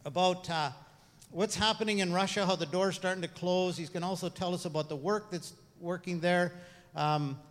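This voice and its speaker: A man leading a church gathering, introducing a guest informally and haltingly, repeating his phrases as he goes. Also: high-pitched, fairly even intonation. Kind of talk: informal spoken introduction to a congregation. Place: large room, church service. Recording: echoey hall with long reverb.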